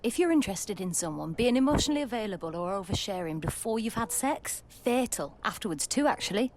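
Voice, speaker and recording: A woman acting a bossy younger sister in an audio drama, with a mid-pitched voice. She is frank, emphatic and matter-of-fact, and there is slight noise and ambience around her. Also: animated, brisk, close to an everyday voice. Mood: knowing, emphatic. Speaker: actor in an audio play.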